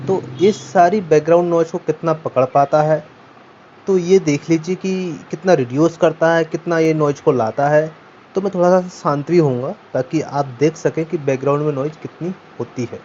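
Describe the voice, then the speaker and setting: A man's voice: a little high, darkish tone, muffled recording. Man explaining over background noise. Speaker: tech reviewer. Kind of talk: casual microphone test talk to viewers. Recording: noisy amateur recording with ambient background noise.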